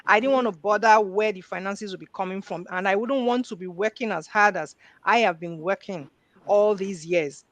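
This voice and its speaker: A woman's voice: medium pitch, normal speed, no room sound. Earnest middle-aged woman telling her story. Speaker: guest. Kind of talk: personal story in interview.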